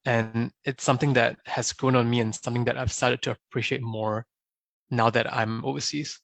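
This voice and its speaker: Gravelly-voiced young man. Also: medium pitch, level tone, a bit bright, no echo. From Singapore. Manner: thoughtful, measured, often adds a questioning "right?". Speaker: podcast guest. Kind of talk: casual interview answers.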